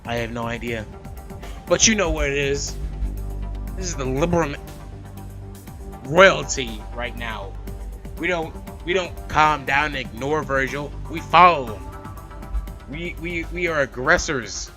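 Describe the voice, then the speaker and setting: A man hosting a let's play, reading a visual novel aloud with animated, slangy casual asides. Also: fairly high pitch, unhurried, pauses often. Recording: loud background music. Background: game music.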